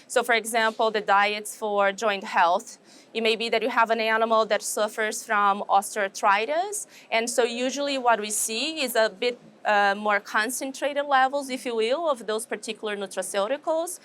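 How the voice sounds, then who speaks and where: A woman's voice: a little high, fairly bright tone. Brazilian woman explaining research findings. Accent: Brazilian Portuguese. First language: Portuguese. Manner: measured, explanatory, frequent fillers and restarts. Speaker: animal science professor, guest. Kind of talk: interview answers. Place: trade show.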